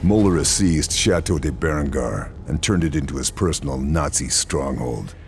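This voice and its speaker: An actor playing a grim, resolute Allied sniper in a war video game, terse and hard-bitten. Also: male voice, rumbling low, moderate pace, darkish tone, a little hiss or hum. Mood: grim resolve. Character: grim, resolute male Allied sniper operative, stealthy and determined. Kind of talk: video game acting, cutscenes and mission narration. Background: music.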